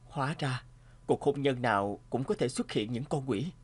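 A man playing a troubled, sentimental family man in an animated drama, speaking earnestly and reflectively. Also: mid-range voice, normal speed, breathy edge.

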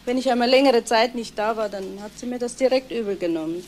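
A woman's voice: a little high, fast talker, natural voice. Woman playing guarded niece in radio krimi, matter of fact, slight background noise. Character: reserved woman, bereaved niece questioned by police. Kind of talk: German crime radio play.

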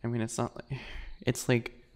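A man voicing a sweet-spoken, obsessive male bunny predator in an ASMR roleplay, soft, breathy and teasing, often whispering. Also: mid-range voice, monotone, natural voice. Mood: shy, sweet. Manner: slow, teasing, giggly, menacing.

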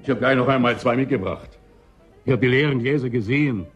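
An actor playing an obliging frontier barkeeper in a science-fiction radio play, courteous and chatty. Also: male voice, medium pitch, fast talker, soft background music, close to an everyday voice.

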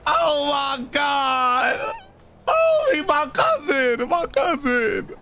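Male role-play streamer, high voice, repetitive urgent slang, noisy game sirens and traffic underneath. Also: extremely slow, vocal fry. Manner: slangy, excitable, repeats phrases. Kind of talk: in-character live gameplay chatter. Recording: sirens and vehicle noise behind voice. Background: game sirens and car sounds.

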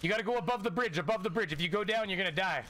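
A man in his thirties streaming a shooter game from home, calling out directions excitedly and swearing as he plays with a friend. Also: sits high, racing, a little hiss or hum. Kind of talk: casual gaming chat. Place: home room, seen on webcam.

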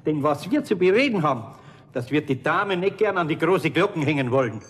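A man with a Bavarian accent playing a gruff, good-natured older master carpenter in a 1970s German radio play, blunt and folksy. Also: fairly high pitch, big pitch swings, a little fast, slight background noise. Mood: indignant.

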